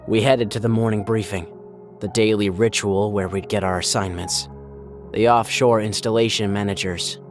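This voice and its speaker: A man acting as a wistful offshore rig worker with a low voice, narrating his isolated life in an audio drama. He sounds reflective and subdued, with music clearly playing underneath. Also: natural voice. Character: wistful rig maintenance worker and devoted fiancé. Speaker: narrator and lead character.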